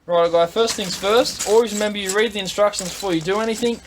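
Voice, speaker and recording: Australian man, high-set voice, casual how-to demonstrator, plain-spoken, step-by-step, noisy indoor workshop recording. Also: fast. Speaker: product demonstrator. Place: indoor workshop at a tool bench.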